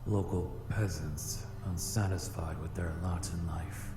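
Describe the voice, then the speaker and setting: A man's voice: deep voice, fairly even intonation. A man voicing a haughty, easily angered male demon in a visual novel game, speaking in a formal, pompous way. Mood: solemn, bitter. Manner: pompous, formal, slow delivery. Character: haughty centuries-old male flame demon, easily enraged. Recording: roomy echo with music behind.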